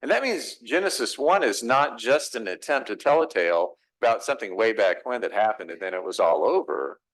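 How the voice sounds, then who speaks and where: Middle-aged man, Texas accent, low voice with wide swings, reflective pastor. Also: lively intonation, brisk, fairly bright tone, low-quality recording. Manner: reflective, conversational preaching with rhetorical questions. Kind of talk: sermon. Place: church sanctuary.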